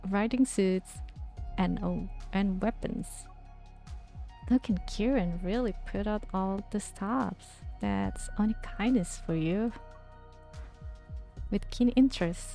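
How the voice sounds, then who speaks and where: A woman's voice: mid-range voice, mellow. A woman streamer who reads a romance game's narration aloud and chats casually with viewers between passages. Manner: casual, thinking aloud with fillers. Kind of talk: reading game text aloud and chatting with viewers.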